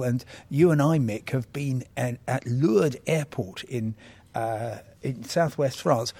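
A man's voice: medium pitch, a little mellow, husky. A man hosting a podcast, chatty with wry asides. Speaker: podcast host. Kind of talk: conversational interviewing and linking.

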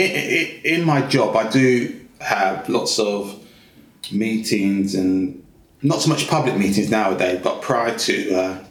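A man, a guest in a casual interview, talking in a rambling, hesitant way with lots of fillers and repeated phrases. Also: medium pitch. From England. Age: 50s. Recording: roomy echo.

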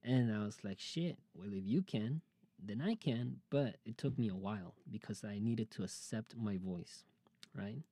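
A man's voice: lowish voice, moderate pace, dark tone, no room sound. A man in his late twenties hosting his own podcast, talking casually and openly about his life, rambling with many fillers and restarts. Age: 20s. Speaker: podcast host. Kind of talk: casual personal monologue.

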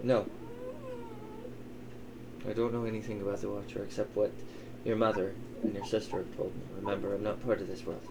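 Man playing a gruff old elven father, noisy recording. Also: lowish voice, flat delivery, fairly quick, long pauses. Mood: grave storytelling. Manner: wry, teasing, swearing. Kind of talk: improvised tabletop roleplay. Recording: clearly noisy, ambient sound behind.

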